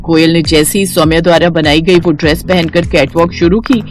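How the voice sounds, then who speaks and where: A woman's voice: fairly low pitch, fairly even intonation, moderate pace, faint music behind. A woman storyteller reading scripted narration for a moral tale.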